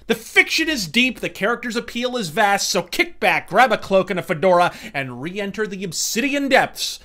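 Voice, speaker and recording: A man's voice: sits high, big pitch swings, normal speed, pushed and bright. A man hosting a scripted video review in a verbose, theatrical and sometimes profane manner. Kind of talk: scripted, florid review narration.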